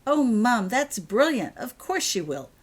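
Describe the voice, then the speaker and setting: Storybook role: kindhearted, eager schoolgirl, played by a woman, higher-pitched, bright and earnest. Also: very animated, measured pace, breathy edge, exaggerated voice. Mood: delighted.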